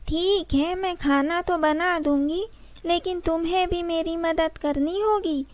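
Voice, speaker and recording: Woman as a high-voiced mother rabbit. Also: a little slow. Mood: obliging. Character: mother rabbit, mildly scolding but obliging. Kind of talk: children's cartoon story acting.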